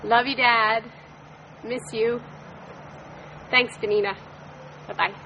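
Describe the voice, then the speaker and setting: A woman reading aloud a heartfelt email she wrote, in a measured way, on stage. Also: fairly high pitch, steady tone, bright, forceful tone, dull audio, a little hiss or hum. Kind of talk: reading a letter to an audience.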